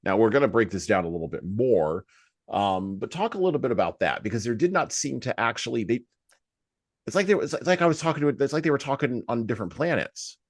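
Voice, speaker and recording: A man hosting a podcast, talking reflectively about books in a halting, deliberate way with emphasis on key words. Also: medium pitch, animated, no echo, studio quality. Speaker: podcast host. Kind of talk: reflective book discussion.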